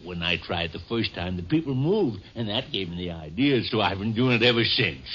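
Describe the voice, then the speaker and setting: A bright-voiced, folksy, crafty crook. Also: male voice, lowish voice, lively intonation, a little fast, slight background noise. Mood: smug, confiding. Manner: folksy ungrammatical drawl. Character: crafty uneducated crook called Lucky, defensive and wheedling. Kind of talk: 1950s radio drama acting. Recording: dull vintage radio broadcast recording.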